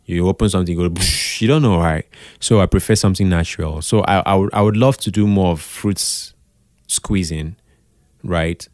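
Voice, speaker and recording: A Nigerian man talking casually as an interview guest, expressive, slipping into Pidgin and repeating phrases for emphasis. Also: low voice, normal speed, no echo, studio quality. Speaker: podcast guest, radio drama producer.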